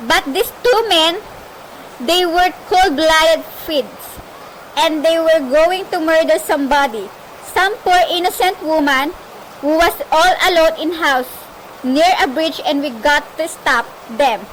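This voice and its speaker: Woman playing frantic demanding lady caller, very high voice, slow drawn-out delivery, melodramatic radio play, faint noise. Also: fairly bright tone. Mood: anxious, urgent. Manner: melodramatic, insistent, indignant. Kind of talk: amateur suspense radio play acting. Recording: noisy amateur recording with faint hiss.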